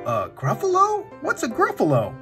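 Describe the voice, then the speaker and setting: Man doing a high-pitched, excitable sly fox. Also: normal speed, music in the background, full character voice. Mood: coaxing. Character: sly fox, falsely friendly, easily frightened.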